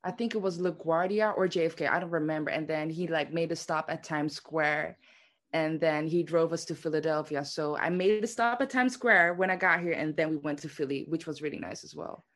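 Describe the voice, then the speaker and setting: A woman's voice: low voice, fairly quick. A woman in her twenties from the Netherlands, a dancer chatting casually as the guest of an interview. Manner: chatty, says "like" a lot.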